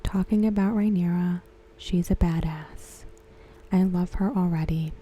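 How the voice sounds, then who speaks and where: Woman, ASMR commentary, breathy near-whisper, lowish pitch, very dark soft tone, hushed and gentle. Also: poor audio. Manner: hushed, gentle, unhurried delivery. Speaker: ASMR content creator reviewing a TV episode.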